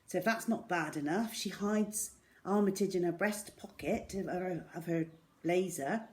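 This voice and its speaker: A woman reading a children's story aloud in an expressive, dramatic way, with deliberate pauses. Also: lowish voice.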